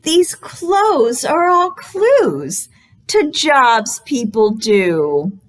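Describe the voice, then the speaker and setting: A woman hosting a storytime for young children, reading aloud in an exaggerated, encouraging way and pausing after questions to invite guesses. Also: high-pitched, big pitch swings. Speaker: children's librarian, storytime host.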